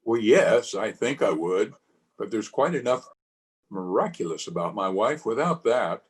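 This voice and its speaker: A man's voice: lowish voice, animated, measured pace. A man playing a doting, reassuring husband in an old-time radio play. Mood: dismissive, reassuring. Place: home, over a video call.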